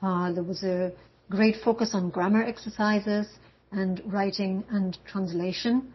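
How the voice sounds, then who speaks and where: A German-born woman speaks English as a university English instructor. She talks in a measured way with frequent um and uh pauses. Her voice is very breathy and husky, and the recording sounds dull and lo-fi. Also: medium pitch, fairly even intonation. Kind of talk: prepared talk. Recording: dull lo-fi audio.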